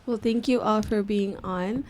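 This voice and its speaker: A woman's voice: medium pitch, steady tone, a little slow. A young woman hosting a casual podcast. She asks her guests questions and answers them with affirming, laughing replies. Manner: warm, affirming, laughs often. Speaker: podcast host.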